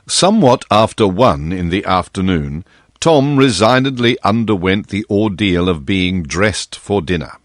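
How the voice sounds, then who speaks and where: A male audiobook narrator with a lowish, very animated voice. He reads a storybook in measured, expressive phrases. The sound is dry, with no room around it. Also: no echo.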